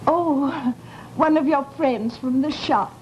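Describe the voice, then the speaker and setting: Mournful, fluttery landlady: high voice, unhurried, folksy and sighing, on a hissy old radio recording. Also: a little mellow, muffled recording. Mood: dismayed. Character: fussy, sentimental landlady, mournful and easily flustered. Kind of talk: 1940s radio drama acting.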